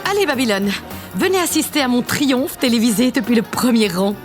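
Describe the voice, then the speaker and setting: An actress plays a vain spaceship president in a comic audio drama. Her voice is on the high side and swoops freely, imperious and pleased with itself, over a bed of music. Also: sing-song, brisk. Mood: bored, imperious. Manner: self-satisfied, imperious. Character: vain, haughty, bored female president of a spaceship. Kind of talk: comedic audio drama acting.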